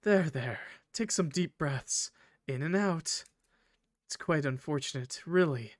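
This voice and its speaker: A man voicing a polite, eloquent male train conductor villain from a visual novel, formal and measured, with quiet menace. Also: a little high, expressive, dry recording, clean studio sound. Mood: calm, menacing. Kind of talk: visual novel character read aloud.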